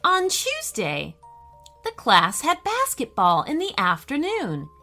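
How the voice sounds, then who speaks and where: A woman tells a children's story in a highly animated, bright voice. She reads deliberately and expressively, taking her time with each phrase. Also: faint music behind. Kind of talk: expressive scripted bedtime story narration.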